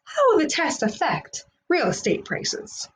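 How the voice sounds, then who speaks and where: A woman's voice: sits high, big pitch swings, a little slow, slight background noise, voice pushed up. A woman voicing an anxious, pushy, status-minded parent in a storybook, asking formal, fretful questions. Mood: anxious, pompous.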